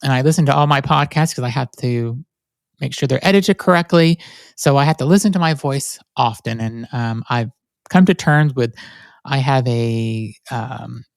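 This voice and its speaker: A man's voice: medium pitch, moderate pace, vocal fry, no echo, studio quality. A man with a nasal voice hosting his own podcast, talking candidly and rambling with many fillers and restarts. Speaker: podcast host. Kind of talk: solo reflective podcast monologue.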